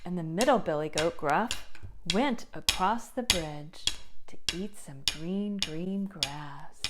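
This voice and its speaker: A woman's voice: lowish voice, takes it slowly, heavy background noise. A woman narrating a children's puppet show as a storyteller.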